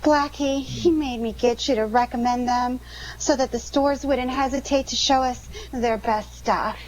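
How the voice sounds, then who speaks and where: An anxious young dame in trouble played by a woman, earnest and pleading in a fairly high voice. It is old-time radio drama acting with faint noise behind. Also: fairly even intonation, measured pace, a little mellow, muffled recording, close to an everyday voice. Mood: confessing.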